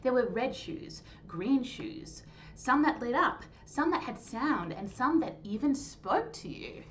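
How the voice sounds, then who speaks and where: Female children's storyteller, animated and high-pitched with very lively intonation, slightly dull recording. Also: slow. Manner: animated, clearly phrased storytelling. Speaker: storytime host and reader. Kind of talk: expressive children's story reading. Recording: dull lo-fi sound, faint noise.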